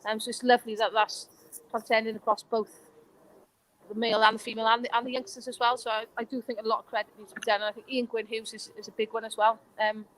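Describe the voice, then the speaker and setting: A woman's voice: on the high side, flat delivery, quick pace, a little hiss or hum, rough recording. A Welsh woman and former player answering interview questions in an earnest, passionate way. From Wales. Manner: earnest, passionate, warm praise.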